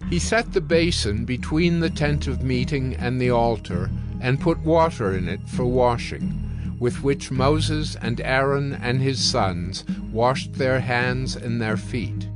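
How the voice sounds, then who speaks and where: A man narrating scripture from a script in a solemn, deliberate storytelling manner. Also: medium pitch. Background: background music.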